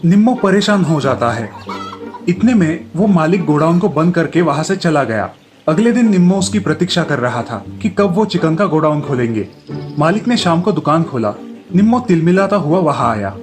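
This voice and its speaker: A man narrates a scripted children's tale as the storyteller. His voice sits a little high for a man. Light music runs behind him. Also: very animated, normal speed.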